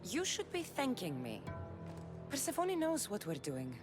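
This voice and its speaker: A woman's voice: a little high, wildly swooping pitch, a little slow, music in the background. An actress playing a bold, defiant mercenary heroine in a video game, forthright and determined in her delivery. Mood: urgent, defiant. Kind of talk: dramatic video game acting.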